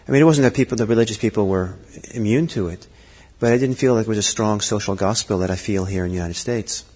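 Middle-aged male teacher, husky voice, halting reflective delivery, long hall reverb. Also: on the low side, darkish tone. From Norway. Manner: thoughtful, halting, many fillers and restarts. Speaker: Buddhist teacher. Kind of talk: dharma talk.

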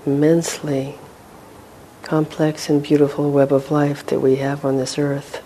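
A woman leading a guided meditation as a teacher, speaking gently and without hurry, with long pauses. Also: little pitch movement. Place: meditation hall with a group. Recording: faint rumbling background noise.

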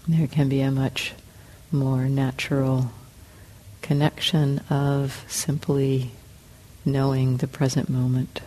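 A woman teaching a guided meditation in calm, measured short phrases with long pauses between them. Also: extremely low pitch, poor audio. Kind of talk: guided meditation, slow spoken instructions.

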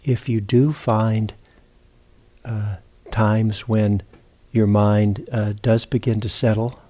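A man teaching meditation, giving guided instruction in a halting, unhurried way with long pauses and repeated words. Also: on the low side, flat delivery, dark tone. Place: meditation retreat center.

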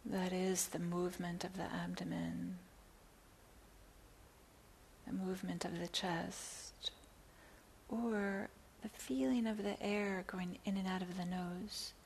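A woman teaching guided meditation in a soft, breathy near-whisper, gently and with long pauses between phrases. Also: lowish voice, level tone. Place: meditation center. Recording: clear background hiss.

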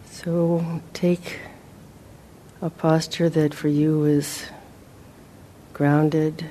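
A woman leading a guided meditation as a teacher, speaking gently and in a measured way with long pauses. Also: low-pitched, little pitch movement, slight background noise.

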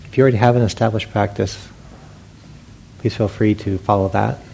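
A man teaching meditation to a group, giving gentle, unhurried guidance with long pauses between phrases. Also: on the low side, fairly even intonation, gravelly, poor audio. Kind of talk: guided meditation instruction to a group. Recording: faint background noise.

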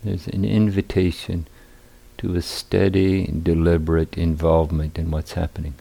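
A man with a very low, creaky voice leads a guided meditation as its teacher. His phrasing is deliberate and a little hesitant, with long pauses between short phrases. The tone is dark and mellow. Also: level tone. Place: meditation retreat center.

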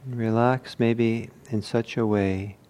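Slow-paced man guiding a meditation. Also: mid-range voice, fairly even intonation, mellow, clean tone. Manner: measured, with long pauses between short phrases. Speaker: meditation teacher. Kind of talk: guided meditation instruction.

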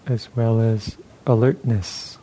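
A man leading a guided meditation as a teacher, speaking calmly and gently in measured phrases with pauses. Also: medium pitch, poor audio.

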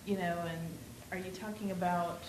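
A woman with a lowish voice asks a long question from the floor of a class, circling and restarting as she looks for the right words. Her voice carries the echo of a large room over a faint hiss. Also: fairly even intonation, moderate pace. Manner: rambling, searching for words, many restarts. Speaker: student asking a question. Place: meditation center hall.

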